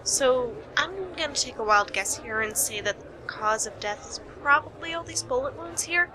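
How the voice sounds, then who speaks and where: A woman's voice: sits high, normal speed, noisy background, close to an everyday voice. A woman playing a wry, weary young private detective in an amateur radio play, sardonic and businesslike. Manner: sardonic, exasperated sighs.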